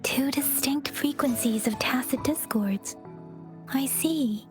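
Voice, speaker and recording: Gentle high-voiced ally. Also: female voice, slow. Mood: calm. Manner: soft-spoken, earnest, composed. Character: calm gentle young woman ally. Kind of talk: game cutscene voice acting. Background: game music.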